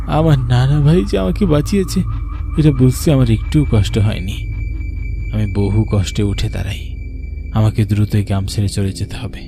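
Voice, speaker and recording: A man narrates a scripted ghost story in the manner of a cartoon voice-over. His voice sits at a medium pitch. Music plays clearly behind him.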